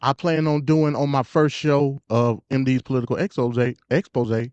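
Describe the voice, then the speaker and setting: A man's voice: mid-range voice, level tone, moderate pace, a little mellow, clean tone, dry recording. An American man hosting a live stream with casual, opinionated political commentary, emphatic and slangy, repeating himself and stuttering. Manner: emphatic, repetitive, slangy, conversational. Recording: dull, lo-fi live stream audio.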